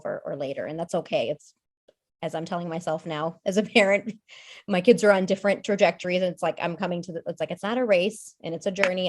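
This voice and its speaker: A woman with a slightly low voice speaks as a podcast guest in a loose, conversational way. She is in her thirties and thinks aloud, circling back with fillers, restarts and small asides. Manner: thoughtful, rambling, many fillers and restarts. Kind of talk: casual conversational discussion.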